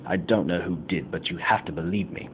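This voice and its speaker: Man acting a low, pleading servant, phone-like sound. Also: brisk, lightly acted. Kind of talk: amateur internet radio play acting. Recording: phone-like thin sound, faint noise.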